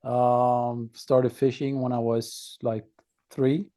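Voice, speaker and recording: Slow, low-key man with a Norwegian accent. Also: flat delivery, warm tone. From Norway. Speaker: podcast guest. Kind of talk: casual personal storytelling.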